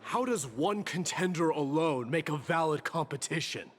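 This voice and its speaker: A man's voice: a little high, expressive, moderate pace, soft background music, lightly acted. A man playing a haughty, scornful warrior in a video game, speaking formally and with disdain. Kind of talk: scripted game voice acting.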